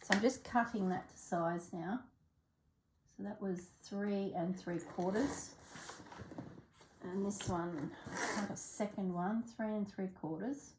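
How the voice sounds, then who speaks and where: A woman narrating her crafting work in a soft, relaxed voice, sometimes dropping to a whisper, congested and clearing her throat. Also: mid-range voice, slow, heavy background noise. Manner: soft-spoken, often drops to a whisper while working. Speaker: craft video maker.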